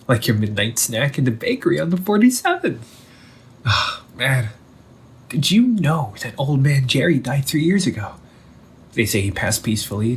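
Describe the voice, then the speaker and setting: A man playing an undead older brother returned from the sea, talking in a hushed, halting, teasing way in an audio roleplay. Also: mid-range voice, slight background noise. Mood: casual, rueful. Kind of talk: audio roleplay monologue to the listener.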